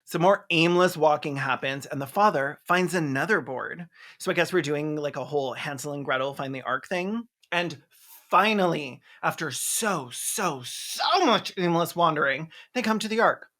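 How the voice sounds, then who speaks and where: Snarky reviewer voice, mid pitch, scripted recap, dry mockery and some cussing. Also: moderate pace, a bit bright. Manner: snarky, mocking, swearing. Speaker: video essayist and film reviewer. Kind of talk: sarcastic scripted movie recap.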